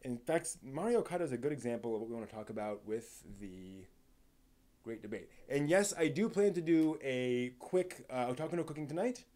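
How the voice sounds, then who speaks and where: A man's voice: medium pitch, expressive, warm tone. A man hosts a casual live stream in a mid-range voice. He speaks off the cuff to his viewers, feeling his way through thoughts. His sentences often stall and get reworded along the way. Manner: halting, thinking aloud, rephrasing mid-sentence.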